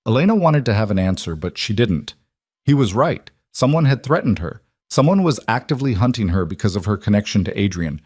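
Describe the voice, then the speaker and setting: A man's voice: fairly low pitch, big pitch swings, brisk, a little mellow, slightly rough, no echo, clean studio sound. A man reading a scripted dramatic romance story as an audiobook narrator.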